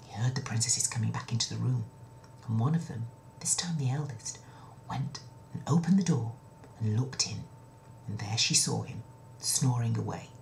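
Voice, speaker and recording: A woman telling a children's story in an expressive, dramatic way, with breathy dips toward a whisper and a chatty, confiding touch. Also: very deep voice, moderate pace, long pauses, slight background noise, low-quality recording. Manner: chatty asides to the listener. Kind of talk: children's story narration, told in own words.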